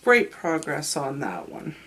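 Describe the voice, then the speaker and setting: A woman's voice: sits low, huge pitch swings, slow pace, pushed and bright, a little hiss or hum. A woman with a stuffed-up, sick voice, chatting candidly to camera about her makeup. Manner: chatty, candid, trails off and restarts. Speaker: beauty vlogger. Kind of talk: casual makeup review to camera.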